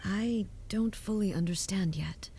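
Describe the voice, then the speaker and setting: A woman voicing a reserved, resolute female ruler in a video game, with a soft, measured and formal delivery. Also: mid-range voice, breathy edge. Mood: pensive.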